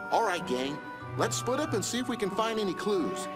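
A man's voice: high voice, sing-song, moderate pace. A man playing a confident, upbeat young gang leader in a cartoon game, earnest and take-charge. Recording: loud background music.

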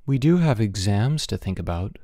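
A narrator voicing a cheeky schoolboy in a storybook, smooth and earnest with feigned innocence. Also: medium pitch, sing-song, normal speed, dark tone, dry recording, studio quality.

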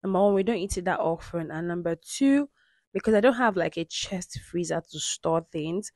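A woman's voice: lowish voice, expressive, moderate pace, a little mellow, dry recording. Woman, dry, close voice-over. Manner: friendly, instructive, addresses viewers directly. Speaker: cooking vlogger. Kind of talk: explanatory voice-over.

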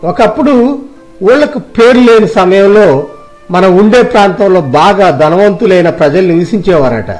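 A man's voice: high voice, expressive, measured pace, a little mellow, soft background music. A man playing a principled, kindly middle-aged farmer in a cartoon story, earnest and preachy. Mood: chatty.

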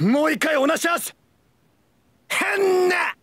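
A childlike, cheerful cartoon goofball of a young man, with a very high, bright voice that swings widely. He is exuberant and boyish, in the manner of an anime mobile game character, and the sound is close and dry. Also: animated, takes it slowly, no echo, heavily acted. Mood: wildly excited. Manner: exuberant, bursting into shouts and laughs.

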